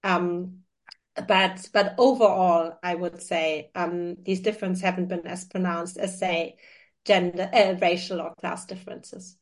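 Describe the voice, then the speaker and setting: A woman's voice: on the low side, fairly even intonation, a little slow. A woman with a German accent, a native German speaker, talking as an academic guest in a conversational interview, thoughtful and hedging with many fillers.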